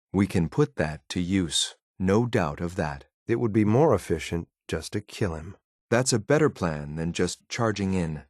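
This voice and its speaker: A man voicing a cold, ruthless synthetic enforcer in a video game, with a clipped, deadpan, formal delivery. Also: low-pitched, dry recording, clean studio sound. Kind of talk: scripted game companion voice lines.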